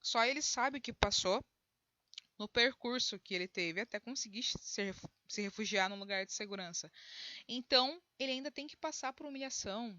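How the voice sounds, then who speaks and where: A woman from Brazil, a high school geography teacher, explaining a lesson in a conversational way with frequent tag questions and restarts. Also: moderate pace, sharp tone, no echo, dull audio. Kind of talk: recorded online lesson.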